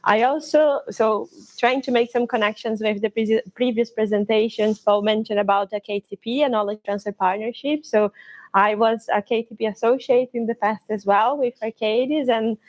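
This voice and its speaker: A woman from Brazil in her thirties with a Brazilian Portuguese accent, presenting at a conference with some halting restarts. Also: a little high, steady tone, low-quality recording. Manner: slightly halting, with restarts and fillers.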